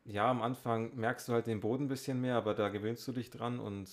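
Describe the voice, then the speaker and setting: A man's voice: mid-range voice, steady tone, fast. Dry man co-hosting a podcast. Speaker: podcast co-host. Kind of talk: casual banter and chat.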